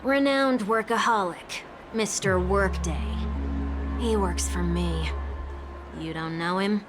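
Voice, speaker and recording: An actress playing a sharp, sarcastic young woman who speaks bluntly and teases, with music playing behind her. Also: medium pitch, slow, close to an everyday voice. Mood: wry. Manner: teasing and blunt. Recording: game soundtrack music behind.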